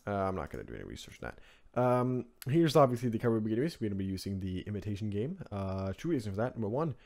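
A man from Norway hosting a casual software tutorial in English as a second language, talking in a rambling, offhand way with informal asides. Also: fairly low pitch. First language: Norwegian. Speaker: tutorial host. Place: indoors at a computer, screen recording voice-over.

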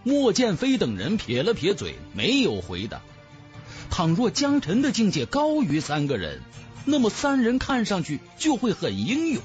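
A man's voice: high-pitched, wildly swooping pitch, moderate pace, dull audio, soft background music. A man narrating a fantasy novel in a theatrical, emphatic style, as a scripted audiobook.

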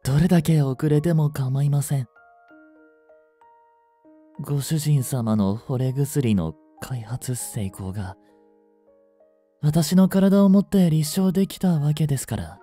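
Slow, mellow male android servant, acted by a man. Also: a little high, soft background music. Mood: devoted, teasing. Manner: formal, breathy, halting. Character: male android servant, polite, devoted, overcome by feverish love. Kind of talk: Japanese romantic audio drama acting.

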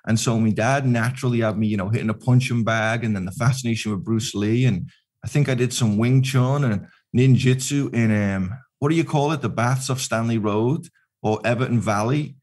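A man's voice: medium pitch, brisk. A man in his forties from Liverpool, with a mixed Liverpool and American accent, chatting on a podcast video call from his kitchen, gesturing a lot. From Liverpool, England. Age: 40s. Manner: animated, gesturing with both hands. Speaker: podcast guest. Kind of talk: casual chat. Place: home kitchen, indoors. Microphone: video call with studio mic in frame.

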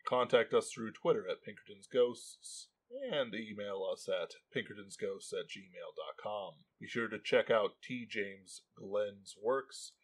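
A man's voice: on the low side, unhurried, hardly any pauses, slightly rough. A man reading scripted podcast credits as an announcer.

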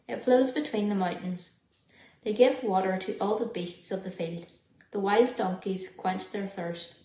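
A woman's voice: mid-range voice, a little slow, warm tone. Young woman reading scripture, roomy sound. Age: young adult. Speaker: scripture reader. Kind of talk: read-aloud Bible passage. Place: living room, seated indoors. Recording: roomy indoor home recording.

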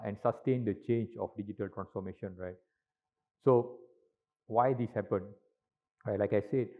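A middle-aged man giving a conference talk on stage into a handheld mic, with lots of "uh" and "right?" tags. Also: lowish voice. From Malaysia. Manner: filler-heavy, frequent tag questions. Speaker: industry speaker at a conference. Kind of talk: presentation talk. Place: large conference hall stage. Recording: tinny, lo-fi hall recording.

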